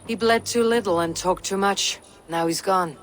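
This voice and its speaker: A terse, level-headed Norse warrior woman who speaks with calm authority, voiced by an actress. A long reverb trails her words, with faint noise under them. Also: medium pitch, moderate pace, close to an everyday voice. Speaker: Viking warrior settlement leader.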